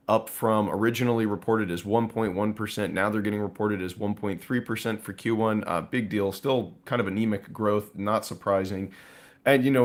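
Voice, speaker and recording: A man with a hoarse, raspy voice hosting a live stream, talking through market news casually to viewers. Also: on the low side. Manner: conversational, with frequent fillers and asides to viewers. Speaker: host of a precious metals live stream.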